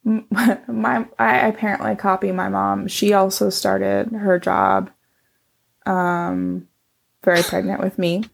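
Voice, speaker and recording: A woman's voice: mid-range voice, level tone, slow pace, gravelly. A middle-aged woman, a scientist guest whose first language was Chinese, answering in casual conversation, hesitant with many ums and restarts.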